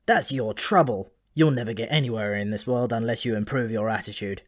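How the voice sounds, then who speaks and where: A man's voice: mid-range voice, a little fast, natural voice. Male narrator as sour dwarf prince, dry, sneering and grumbling, for a comic fantasy storybook. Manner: dry, scornful, complaining.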